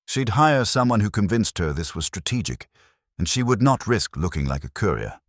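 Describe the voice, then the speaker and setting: A man's voice: lowish voice, very animated, moderate pace, dry recording, studio quality, pitched above the performer's own voice. A man voicing a wisecracking, streetwise detective's assistant in an audiobook, glib and sardonic. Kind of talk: acted dialogue in a detective audiobook.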